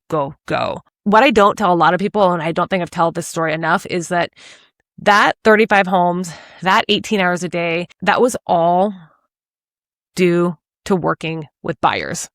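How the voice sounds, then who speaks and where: A woman in her forties from Washington state, telling her story as a podcast guest in an animated, energetic way. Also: on the low side, a little slow, no room sound, clean studio sound. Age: 40s. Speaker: podcast guest, real estate agent. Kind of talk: casual interview chat.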